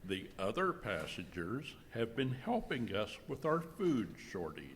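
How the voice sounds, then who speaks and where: A man playing a sinister, calmly menacing cruise ship captain in an amateur horror comedy radio skit. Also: lowish voice, unhurried. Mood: calm menace. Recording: echoey hall, background noise.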